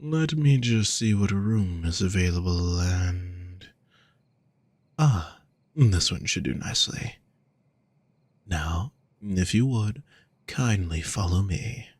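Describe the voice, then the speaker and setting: A man playing a gentle, teasing male demon companion in a roleplay audio, speaking courteously with genteel endearments to the listener. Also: low voice, expressive, slow pace, long pauses, dry recording, heavily acted. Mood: courteous, inviting.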